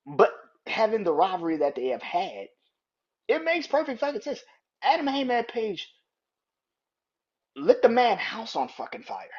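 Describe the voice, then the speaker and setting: A man's voice: high-pitched, big pitch swings, unhurried, pauses often, husky, muffled recording. A young man hosting a podcast, counting down a ranking in casual, profane talk, often laughing and getting worked up. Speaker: podcast host.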